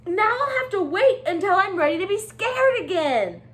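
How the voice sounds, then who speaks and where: A woman's voice: very high voice, sing-song, normal speed, a bit bright, exaggerated voice. A woman voicing a small, anxious young penguin from a children's storybook, childlike, whiny and dramatic. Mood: dismayed, whiny. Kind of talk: children's storybook read-aloud.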